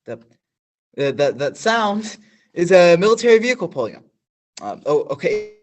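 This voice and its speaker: A man's voice: sits high, very animated, moderate pace, slight background noise. A man playing a flustered news reporter in a stage radio play, stammering through formal newsreader phrasing.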